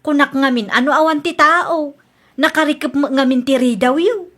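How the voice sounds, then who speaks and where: High, bright, brassy Ilocano housewife. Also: female voice, normal speed. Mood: breezy. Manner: sassy, argumentative. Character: brash, defiant housewife who borrows without asking. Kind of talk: Filipino radio comedy drama acting.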